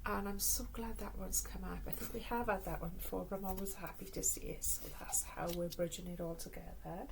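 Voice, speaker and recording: A woman with a Welsh accent giving a spiritual card reading, chatty and rambling with restarts, calling her listeners my loves, now and then dropping to a hushed whisper. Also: mid-range voice, fairly even intonation, gravelly, noisy background. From Wales. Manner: slow measured reading aloud from a card guidebook; drifts between quick talk and hushed whispering. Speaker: tarot card reader. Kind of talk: casual spoken card reading.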